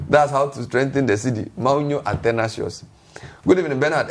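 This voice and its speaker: Husky TV host reading messages. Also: male voice, mid-range voice. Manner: brisk, reads texts aloud with quick asides. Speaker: TV talk show host. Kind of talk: reading viewer messages, moderating panel.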